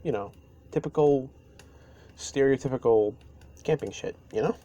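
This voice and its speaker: A man playing a caring, easygoing best friend in an intimate roleplay audio, speaking softly and haltingly to the listener. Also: medium pitch, dull audio, lightly acted. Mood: relaxed, easygoing. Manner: halting, unhurried, gentle. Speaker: amateur audio roleplay performer. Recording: steady ambient noise behind voice. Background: campfire ambience.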